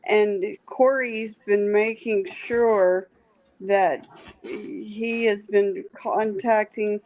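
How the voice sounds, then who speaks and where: A woman guest on a podcast, talking in a slow, halting way with many restarts. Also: medium pitch, fairly even intonation, a little mellow, poor audio. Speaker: guest, pest control safety director. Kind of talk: casual podcast chat.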